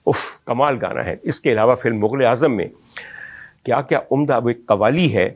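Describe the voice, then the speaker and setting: A man's voice: medium pitch, moderate pace. A man from Pakistan, telling the history of old film songs in an ornate, reminiscing manner and speaking directly to his audience. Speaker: film music historian host. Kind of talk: storytelling music history talk.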